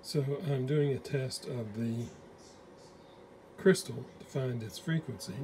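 A man's voice: mid-range voice, background noise. A man talking through a radio repair at his workbench, muttering to himself as he works. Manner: slow, muttering to himself while working. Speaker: radio restorer. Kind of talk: hands-on repair walkthrough. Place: workbench indoors.

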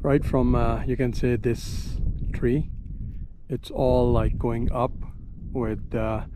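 A man filming his mountain hike, describing the route in halting casual speech with many fillers. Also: mid-range voice, steady tone. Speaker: hiker filming his climb. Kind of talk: casual narration while hiking. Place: outdoors on a windy mountain. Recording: dull lo-fi sound with loud wind noise.

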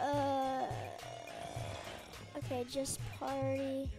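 High-pitched young gaming vlogger, giggly. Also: monotone, extremely slow. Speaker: video host. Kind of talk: casual gaming prank vlog. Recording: music behind the voice.

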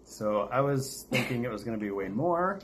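A man's voice: medium pitch, normal speed. An American man chatting casually to viewers as the host of a vlog. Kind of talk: casual chat to camera. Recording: steady noticeable background noise.

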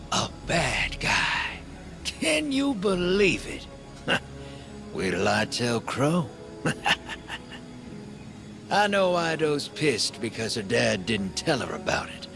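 An actor voicing a sly, easygoing drifter rogue in a video game, with a folksy, colloquial drawl. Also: male voice, a little high, sing-song, slow, creaky, long pauses, exaggerated voice. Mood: amused, teasing. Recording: loud music under the voice.

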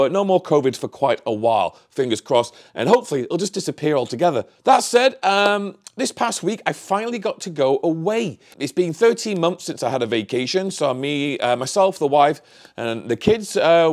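A middle-aged man hosts his vlog in casual, candid style. His voice sits at a medium pitch, and he rambles a little as he talks straight to the camera. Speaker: vlogger, channel host. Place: small home office, indoors.

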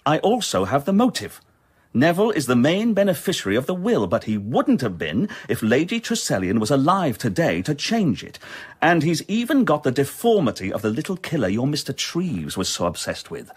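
Blunt bossy police inspector, radio drama, rough husky voice, very animated. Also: male voice, a little high, moderate pace, full character voice. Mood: firm, certain. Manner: blunt, authoritative. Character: stubborn, brusque male police inspector sure of his case.

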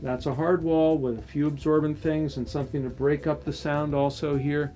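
A middle-aged man, a loudspeaker designer, casually explaining his home listening room, hesitant with restarts. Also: fairly high pitch, little pitch movement, moderate pace, soft background music. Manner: hesitant, many fillers and restarts, technical. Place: home listening room, carpeted and acoustically dead.

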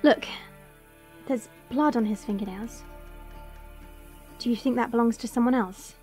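A woman's voice: a little high, a little slow, darkish tone, natural voice. A woman playing a young dragon princess who is superior toward humans, in an audio drama. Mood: cool, curious. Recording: music playing behind. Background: background music.

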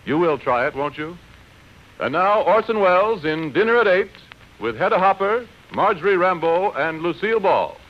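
A man's voice: high-pitched, animated, moderate pace. An American man working as a radio announcer, reading scripted 1940s advertising in a folksy, persuasive, emphatic way. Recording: dull vintage radio broadcast recording.

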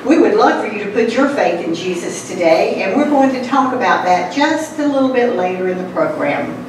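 A woman's voice: mid-range voice, lively intonation, fairly quick. Woman hosting a church puppet show, preaching to the audience, way off from the mic in a super echoey room w/ noise. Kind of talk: evangelistic talk to an audience. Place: hall indoors, recorded from the audience. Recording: distant, echoey, noisy room recording.

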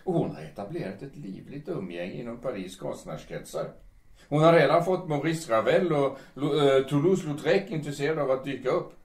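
A man's voice: mid-range voice, expressive, a little mellow, natural voice. A man playing an earnest, lovestruck middle-aged editor in an audio drama, speaking in formal, hesitant phrases. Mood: proud. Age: late 30s. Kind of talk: audio drama dialogue in Swedish. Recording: echoey room.

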